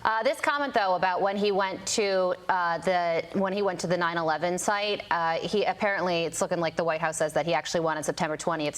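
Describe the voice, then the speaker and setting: American woman news anchor, mid-range voice. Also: mid-range voice. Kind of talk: news presenting and interviewing.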